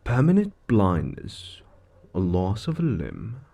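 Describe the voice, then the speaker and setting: A man's voice: fairly low pitch, wildly swooping pitch, takes it slowly, creaky, warm tone, heavily acted. A man voicing a suave, gloating devil lord in an audio roleplay, theatrical and condescending, savoring his words as he toys with a mortal. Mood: officious, taunting. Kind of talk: scripted audio roleplay monologue.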